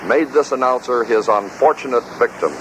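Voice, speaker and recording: A man's voice: mid-range voice, normal speed, fairly bright tone. A man hosting a radio record with scripted vintage narration, on an old recording. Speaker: host of a radio bloopers record. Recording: old, noisy archive recording.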